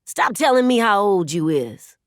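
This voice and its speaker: A woman narrator voicing a fed-up man in an audiobook, speaking with forceful exasperation. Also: mid-range voice, very animated, normal speed, dry recording, clean studio sound, close to an everyday voice. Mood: irritated. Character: fed-up, exasperated man quarrelling with his lover. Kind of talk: audiobook character dialogue.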